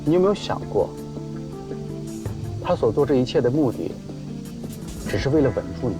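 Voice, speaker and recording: An actor playing a smooth, insinuating male schemer in a screen business drama. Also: mid-range voice, big pitch swings, normal speed, over music, natural voice.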